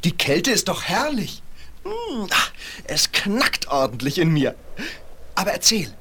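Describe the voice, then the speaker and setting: An actor playing a naive, curious, newly built snowman in a children's fairy-tale radio play, husky and full of marvelling questions. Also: high voice, huge pitch swings, slow pace, sharp tone, heavily acted. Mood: cheerful, admiring. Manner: wide-eyed questioning, marvelling. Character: naive, curious, cheerful day-old snowman.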